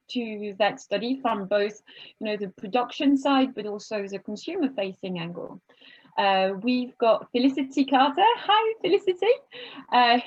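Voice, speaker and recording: A woman's voice: fairly high pitch, highs cut off. A woman hosting an online panel from home over a video call, friendly and chatty, with many fillers and restarts. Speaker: webinar host and moderator. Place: home office.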